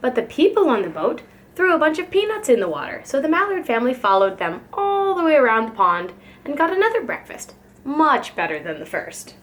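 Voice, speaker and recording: A woman's voice: high-pitched, big pitch swings, fairly quick. A woman reading a children's picture book aloud like a preschool teacher, expressively and with care for young listeners.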